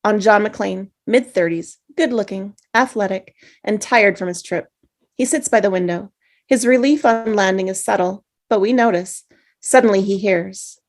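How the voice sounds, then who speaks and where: A woman narrating the stage directions in a table read, with steady, measured script reading. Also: mid-range voice. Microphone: video call.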